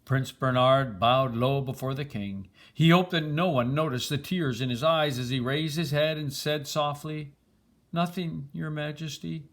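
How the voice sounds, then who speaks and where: A man's voice: medium pitch, normal speed, few pauses, a bit bright. An older man reading a children's storybook aloud as a grandfather would, with expression.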